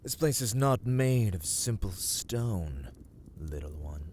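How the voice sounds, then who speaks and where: A slow, deep, imperious vampire lord. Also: male voice, lively intonation, a little mellow, a little hiss or hum. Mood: brooding. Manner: slow, theatrical, archaic formal phrasing, often dropping to whispers. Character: ancient male vampire lord, imperious, predatory, coldly courteous. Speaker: voice actor in audio roleplay. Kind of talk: ASMR dark fantasy roleplay.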